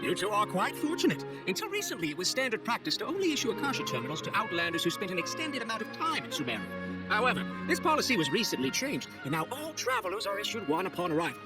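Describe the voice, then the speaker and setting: A polite male official from a video game, with a high voice and very animated intonation. He explains things formally and briskly over music. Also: exaggerated voice. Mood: formal, informative. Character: courteous male city official welcoming newly arrived travelers. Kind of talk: scripted video game dialogue.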